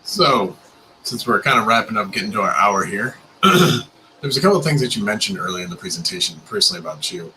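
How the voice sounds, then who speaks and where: Animated, bright-voiced male host. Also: fairly low pitch, a little hiss or hum, rough recording. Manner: playful, joking, quick to laugh. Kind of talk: casual video call interview. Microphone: video call mic.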